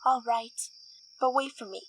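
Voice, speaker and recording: Woman voicing a high-pitched, caring little filly. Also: moderate pace, slight background noise, close to an everyday voice. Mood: hesitant. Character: timid, caring young filly in a horror fanfic. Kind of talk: amateur audio drama acting.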